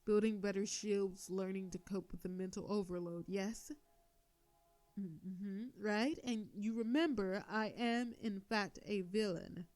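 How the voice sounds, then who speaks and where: A woman playing a proud, sardonic female telepathic villain with a hidden soft side, in a theatrical scripted audio drama. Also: medium pitch, fairly even intonation, a little slow, no echo, lightly acted. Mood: incredulous, stern. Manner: theatrical, indignant then soothing.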